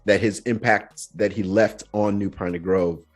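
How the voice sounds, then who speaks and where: Husky Nigerian man telling a story. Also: fairly low pitch, a little slow. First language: Yoruba. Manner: animated storyteller, acts out quotes. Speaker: podcast host. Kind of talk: casual storytelling chat.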